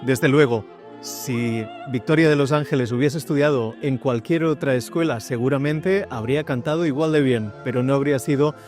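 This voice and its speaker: A man narrates a documentary in a calm, measured voice. His pitch sits in an ordinary middle range. Music plays clearly behind him. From Spain. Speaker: author presenting his book. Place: outdoors in city streets.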